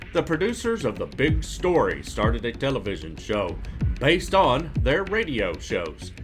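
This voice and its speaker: A man reading a scripted documentary narration in a measured, factual storytelling manner. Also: medium pitch. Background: music.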